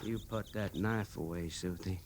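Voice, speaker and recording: An actor playing a cautious, easygoing rustic cavalry private with a rural American accent, in an old Western radio drama. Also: male voice, sits low, a little slow, a little mellow. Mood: wary, resigned. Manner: folksy drawl, placating. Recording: old radio recording, faint noise.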